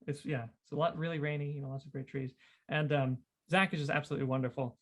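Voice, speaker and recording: Enthusiastic man with a highish voice. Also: fairly even intonation, fast talker. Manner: enthusiastic, repeats phrases. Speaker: podcast host. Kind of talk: casual chatty podcast conversation.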